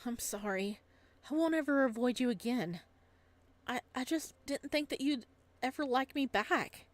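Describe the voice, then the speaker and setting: A woman's voice: high-pitched, expressive, measured pace, no room sound, pitched above the performer's own voice. A woman voicing a shy, lovestruck college-age young man in a visual novel, with a flustered, stammering delivery. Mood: flustered, apologetic. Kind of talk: visual novel dialogue read aloud.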